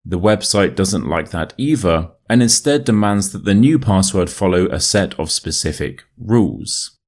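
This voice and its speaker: A man with a modern RP British accent reading a short story as an English teacher, in a measured, clearly enunciated way. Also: sits low, expressive, darkish tone. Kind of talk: scripted story narration for listening practice.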